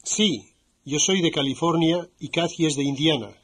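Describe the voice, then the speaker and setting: A man playing a modest American sergeant who speaks Spanish in a language-course dialogue, slowly and clearly for learners. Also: on the high side, a bit bright. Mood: clear, deliberate. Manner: slow and clearly spoken for learners.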